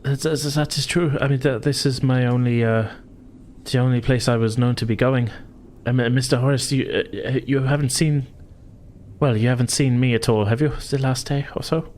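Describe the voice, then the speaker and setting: A man's voice: medium pitch, steady tone, moderate pace, darkish tone, voice pushed up, lightly acted. A man plays a refined female opera star in a tabletop game, raised above his own voice. She speaks politely and hesitantly, a little shaken, over faint noise. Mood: hesitant, worried.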